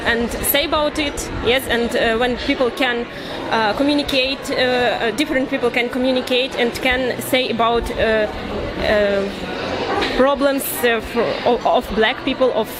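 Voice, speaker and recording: A woman interviewee speaking English, hesitant and halting as she searches for words. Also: a little high, fairly even intonation. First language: not English. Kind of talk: street interview answer. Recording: crowd noise in public space.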